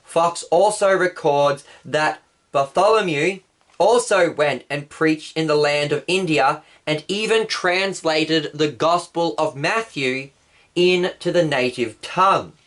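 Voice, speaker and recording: A man's voice: on the high side, a bit bright. A male pastor telling church history as a patient story for children. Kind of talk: children's Bible story lesson.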